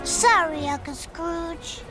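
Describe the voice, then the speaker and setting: A woman's voice: very high-pitched, slow pace. A woman voicing an eager, earnest young duck boy, chirpy, over game music.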